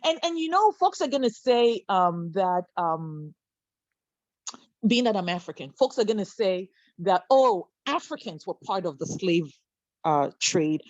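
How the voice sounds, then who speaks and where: A woman's voice: mid-range voice, very animated, a little slow, a little mellow, no room sound, lo-fi sound. A woman from Cameroon speaking on a video call panel, impassioned, full of fillers, restarts and rhetorical questions.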